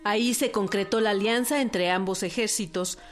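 A woman's voice: medium pitch, moderate pace, soft background music. A woman reading scripted historical narration for a radio documentary drama.

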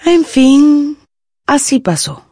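A woman narrating a literary radio drama in an expressive, deliberate storytelling style. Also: high-pitched, no echo, studio quality.

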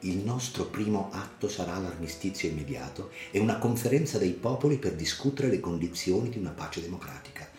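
A man voicing a revolutionary leader in a radio play, declamatory and deliberate. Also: deep voice, expressive, a little mellow. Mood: visionary, resolute. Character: impassioned male revolutionary leader making political declarations. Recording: reverberant voice over music.

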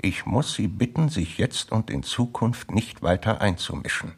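Gruff, imperious old colonel, low-voiced. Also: male voice, animated, moderate pace. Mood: stern, formal. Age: older. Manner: curt, imperious, formal. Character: gruff, curt old colonel guarding family secrets. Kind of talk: 1960s German radio drama acting.

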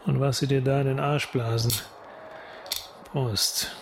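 Slow-talking, hard-nosed cop. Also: male voice, mid-range voice, fairly even intonation, creaky, noisy background. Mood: menacing. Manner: deliberate, curt, threatening. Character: hard, menacing male police sergeant leaning on informants. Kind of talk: German radio crime drama acting.

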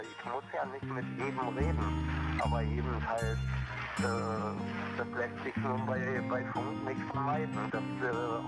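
A man's voice: mid-range voice, low-quality recording. A man who does CB radio as a hobby, talking casually and informally. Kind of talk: casual spoken reflection. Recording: loud music over voice. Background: loud music.

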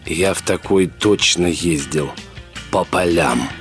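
A man's voice: deep voice, takes it slowly, soft background music. An actor playing a big, agreeable elderly father in a radio drama, speaking in short reassuring phrases.

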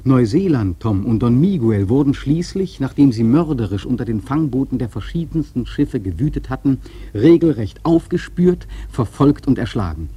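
An actor playing an earnest, reflective seafaring narrator in a radio play, with measured, rhetorical literary delivery and a husky, mellow voice. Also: male voice, medium pitch, sing-song, highs cut off. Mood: earnest, explaining.

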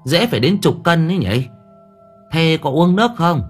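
A man's voice: lively intonation, moderate pace, husky, soft background music. A man voicing a frightened old village woman who shrieks and pleads. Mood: chatty. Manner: high-pitched cries, panicky.

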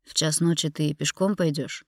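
A woman's voice: low-pitched, fairly even intonation, moderate pace, a little mellow, no echo, clean studio sound. A woman narrator voicing a guilty, tense husband who speaks tersely and pleads, in an audiobook drama. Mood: guilty, subdued.

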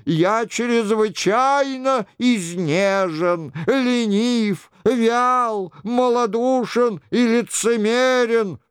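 Мужчина-чтец играет насмешливого шута, который жалобно, нараспев изображает кающегося короля. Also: male voice, smooth, no echo, studio quality. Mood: plaintive. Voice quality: plaintive, high-pitched. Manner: drawn-out, exaggerated penitence. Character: mocking jester imitating the king in plaintive mock confession.

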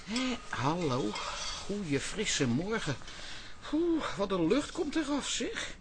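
A woman's voice: mid-range voice, huge pitch swings, slow pace, background noise. An actress playing a motherly, outspoken middle-aged aunt who fusses and exclaims, in a radio comedy drama. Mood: appalled. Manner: exclamatory, fussing.

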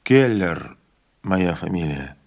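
A man voicing a calm, resigned older train conductor who speaks low and quietly. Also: takes it slowly, heavily acted.

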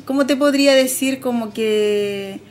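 High-pitched woman, halting and reflective. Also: a little mellow, soft background music. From Andean highlands of northern Chile. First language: Aymara. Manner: halting, reflective, searching for words. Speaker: interviewee. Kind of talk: personal testimony on a radio program.

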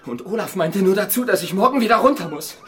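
A man's voice: high-pitched, expressive, quick pace. A man playing a shaken, distraught survivor in a German radio drama. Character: shaken survivor of a deadly attack.

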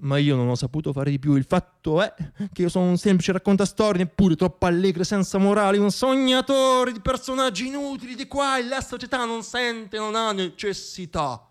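A man's voice: sits high, moderate pace, few pauses, clear, resonant tone. A man performing a theatrical comic monologue as a storyteller, wry and self-deprecating, repeating words for effect.

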